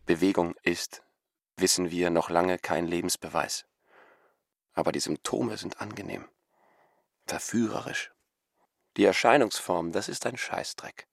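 An actor playing a brooding, blunt philosophical voice in a radio drama, speaking in terse fragments. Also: male voice, deep voice, steady tone, measured pace, vocal fry, long pauses, no room sound, studio quality, heavily acted. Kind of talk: scripted experimental radio drama.

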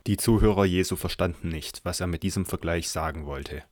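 Deep-voiced male Bible narrator. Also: brisk, darkish tone. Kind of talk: scripted Bible audio drama narration.

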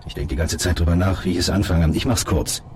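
An actor with a standard German accent playing a calm, matter-of-fact middle-aged police colleague in a radio drama, reassuring and direct as he explains things. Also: low-pitched, slight background noise, lightly acted. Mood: earnest, persuasive. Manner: measured wording, reassuring, direct.

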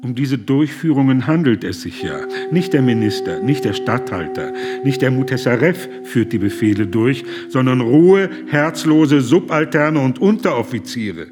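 A man's voice: mid-range voice, expressive, normal speed, hardly any pauses, music in the background, natural voice. An actor in a radio drama playing an impassioned German humanitarian who pleads earnestly and formally with a man in power. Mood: urgent, indignant.

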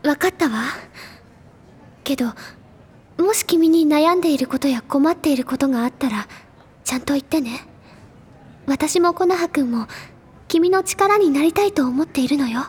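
An actress playing an earnest, caring high school senior in an audio drama, gentle in manner yet insistent and determined. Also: female voice, lively intonation, moderate pace, pauses often. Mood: gentle concern.

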